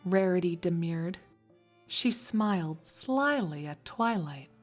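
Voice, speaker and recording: A woman reads scripted story narration in a fairly low voice. Her delivery is slow and measured but very varied in intonation, with soft music underneath. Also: expressive. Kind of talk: scripted fan fiction narration.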